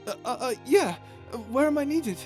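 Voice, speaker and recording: A woman plays a gentle, childlike creature in a space audio drama. The voice sits fairly high and is timid and earnest, often stammering and hesitating. Clear music runs underneath. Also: expressive, normal speed. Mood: shy, eager. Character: timid, childlike, gentle non-human crew member. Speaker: voice actor in audio roleplay. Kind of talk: ASMR audio drama roleplay.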